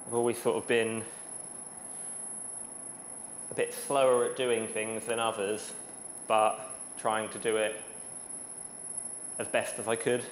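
A man, a dairy worker, casually explaining his work in an unhurried, modest, informal way. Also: on the low side, little pitch movement. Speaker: dairy skyr maker. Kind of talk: casual explanation while working. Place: small dairy production room, indoors. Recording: echoey room with clear machinery noise.

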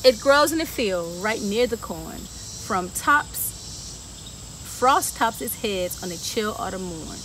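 A woman in her thirties reading a picture book aloud to children and then touring a garden with them, asking lively questions. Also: mid-range voice, slow pace, low-quality recording. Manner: lively, talks straight to kids with questions. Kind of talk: children's story time read-aloud and garden tour. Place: outdoor garden, seated on a bench then walking among plants. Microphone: camera a few feet away, no visible mic. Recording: outdoor camera audio with steady insect noise.

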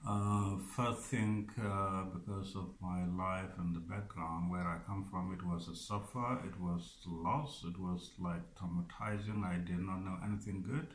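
A man in his forties from South Sudan, answering interview questions in a halting, earnest way, repeating words and restarting phrases. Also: sits low, fairly even intonation, reverberant. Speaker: operations manager of a resettlement group. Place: small office room indoors. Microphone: camera a few feet away.

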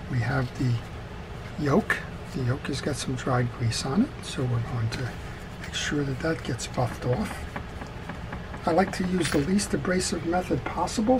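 A man giving a methodical, casual step-by-step repair tutorial as he works at a workbench. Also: on the high side. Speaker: fishing reel repairer presenting a tutorial. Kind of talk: hands-on instructional demonstration, casual step-by-step talk. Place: indoors at a wooden workbench. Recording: steady background noise.

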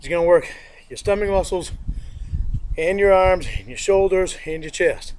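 A man's voice: sits high, moderate pace, darkish tone. A middle-aged man demonstrating a workout in a backyard, plain-spoken and sniffling from allergies, recorded on a distant camera mic. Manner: plain-spoken, repeats himself, counts reps aloud. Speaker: home fitness instructor. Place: outdoor backyard lawn.

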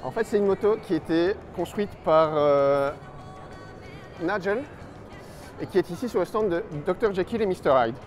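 Higher-voiced man presenting, music behind. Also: animated, moderate pace. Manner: chatty, addresses the viewer directly. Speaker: motorcycle magazine video presenter. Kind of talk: casual event report commentary.

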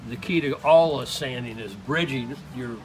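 An older man demonstrating woodworking in an open workshop, talking in a halting, rambling way full of restarts. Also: mid-range voice, faint music behind, low-quality recording. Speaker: woodturner demonstrating. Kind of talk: casual hands-on demonstration.

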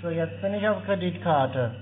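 A man's voice: a little high, lively intonation, normal speed, faint music behind, lightly acted. A man playing a bumbling, naive caller who mutters through each step and mangles English words on a prank phone call. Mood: plodding. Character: bumbling, naive man struggling with online payment. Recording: tinny narrowband phone line.

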